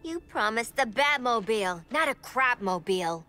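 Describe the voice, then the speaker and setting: Sassy villainess, animated and high. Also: female voice, normal speed, faint music behind. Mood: irritated. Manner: sassy, cajoling. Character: brash, coaxing villainess handling a child. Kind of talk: video game cutscene acting.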